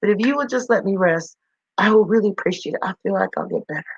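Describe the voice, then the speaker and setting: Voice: medium pitch, expressive, quick pace, slightly rough, no echo, muffled recording. A storyteller voicing a small injured male bird, humble and hopeful, pleading politely in a sing-song way for a children's story.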